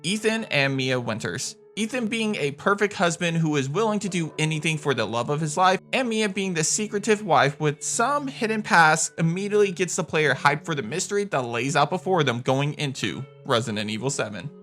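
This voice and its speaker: A man with a bright, forceful voice pitched a bit high narrates a scripted game countdown. He is emphatic and enthusiastic, with faint music underneath. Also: lively intonation, fairly quick, few pauses. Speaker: video game countdown host.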